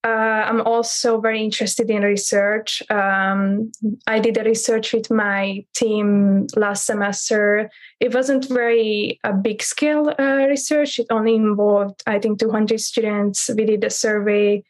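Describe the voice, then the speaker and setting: A young woman introduces herself at a roundtable. Her English is careful and hesitant, full of pauses, in a mid-range voice. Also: mid-range voice, flat delivery. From Hungary. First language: Hungarian. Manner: hesitant, many pauses and fillers. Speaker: student guest.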